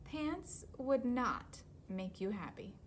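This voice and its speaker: A woman voicing an exasperated, practical panda in a children's picture book, bossy and flatly contradicting. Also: on the high side, slow, slight background noise.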